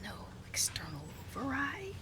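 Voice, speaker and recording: A woman playing a haughty, flustered reclusive scientist in a close ASMR roleplay, halting and repeating herself as she gives orders. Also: high voice, sing-song, pushed and bright, slight background noise, heavily acted. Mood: flustered, irritated. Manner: halting, repetitive, sighing, imperious.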